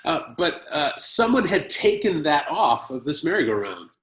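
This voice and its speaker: An American man from Chicago telling a personal story at a conference, speaking in short phrases and pausing for his interpreter. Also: on the high side, very animated, fairly bright tone. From Chicago, United States. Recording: roomy echo.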